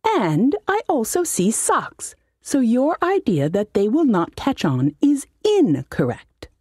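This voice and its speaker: A woman's voice: on the high side, huge pitch swings, slow, darkish tone, husky, dry recording, studio quality, pitched above the performer's own voice, full character voice. A woman voicing an irascible, pompous old male halfling wizard in a comic fantasy audiobook, indignant and bossy.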